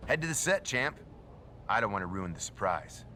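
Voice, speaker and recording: A man's voice: on the low side, big pitch swings, moderate pace, sharp tone, a little hiss or hum. A man playing a slick, self-assured showbiz promoter in a video game, talking emphatically and persuasively. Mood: persuasive, sly. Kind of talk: scripted video game cutscene acting.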